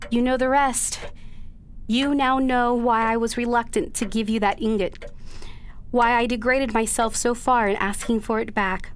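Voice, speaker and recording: A woman playing a dutiful, anguished young daughter in a period radio drama, speaking earnestly and haltingly in formal old-fashioned phrases. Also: fairly high pitch, fairly even intonation, a little hiss or hum, close to an everyday voice. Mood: distraught.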